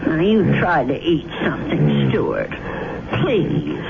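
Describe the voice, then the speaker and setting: A woman's voice: sits low, wildly swooping pitch, slow pace, full character voice. An older woman playing a fussy, stubborn but kindly old landlady in a radio drama. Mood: determined. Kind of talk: 1970s radio drama acting. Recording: noisy, muffled old radio broadcast.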